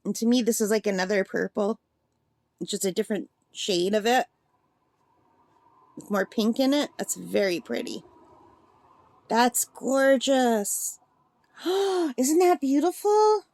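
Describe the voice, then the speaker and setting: A woman with a slightly gravelly voice chatting and gushing as she swatches paints in a casual demo at her craft table. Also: on the high side, animated, slow, pauses often, a little mellow, no room sound. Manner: chatty and gushing, thinks aloud. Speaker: art supply reviewer.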